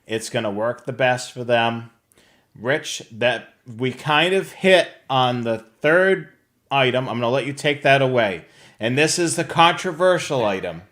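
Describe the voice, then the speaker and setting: A man's voice: mid-range voice, lively intonation, measured pace, fairly bright tone. American man casually hosting a livestream. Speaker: host of a radio scanner show. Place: indoor home room on a video call.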